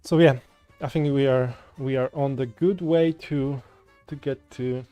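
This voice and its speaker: A man with a Polish accent talks in a loose, wandering way, often restarting his sentences as he chats with viewers. His voice is warm and dark rather than bright. Folk music plays quietly in the background. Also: mid-range voice, fairly even intonation, a little slow, smooth, no room sound. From Poland. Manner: rambling, frequent restarts, chatty with viewers. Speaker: coding livestreamer. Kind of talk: casual livestream chat while coding. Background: soft folk music.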